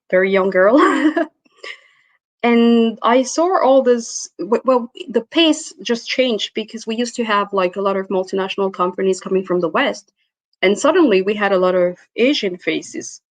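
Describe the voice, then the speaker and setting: A woman's voice: medium pitch, moderate pace, no room sound. Woman speaking in a dry close voice. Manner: thoughtful, laughs easily, with restarts. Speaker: guest. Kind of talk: interview answers.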